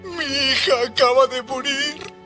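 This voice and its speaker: Anguished pleading father, very high voice, slow, audio Bible drama, music behind. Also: sharp tone, heavily acted. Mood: desperate pleading.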